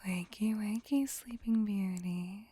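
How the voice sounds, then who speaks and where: Woman playing a slow, breathy seductive vampire. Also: mid-range voice, warm tone, no echo. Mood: teasing. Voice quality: breathy, whispery. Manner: slow, teasing, flirtatious. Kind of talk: ASMR roleplay.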